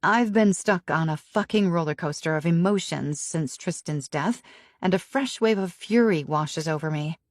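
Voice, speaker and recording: A woman narrating a romance audiobook in first person with an expressive, emotionally charged reading. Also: lowish voice, normal speed, no echo, clean studio sound.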